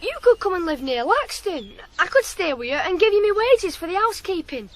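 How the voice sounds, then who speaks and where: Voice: very high-pitched, sing-song, fairly quick, fairly bright tone, exaggerated voice. An actor playing an eager, cocky teenage farm boy in a radio drama, earnest and plain-spoken. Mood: eager, pressing. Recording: faint noise, 1980s radio drama.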